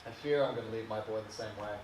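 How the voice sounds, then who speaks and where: Male actor as bitter, wounded adult son: brooding dramatic monologue, halting and emotional, echoey, away from the mic. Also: lowish voice, a little mellow, natural voice. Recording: distant mic, echoey room, faint hiss.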